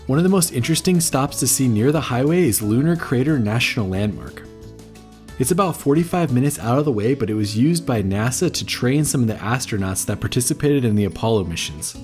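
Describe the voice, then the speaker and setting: A man's voice: medium pitch, expressive, a little fast, a little mellow, faint music behind. Man narrating a travel voice-over. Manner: informative, recommending tips to viewers. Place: voice-over recorded apart from footage.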